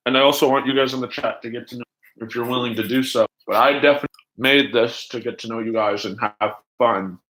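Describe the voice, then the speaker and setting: A man hosting a livestream in casual chat, rambling haltingly and jumping between asides as he talks to viewers. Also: mid-range voice, fairly bright tone, poor audio. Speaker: livestream host.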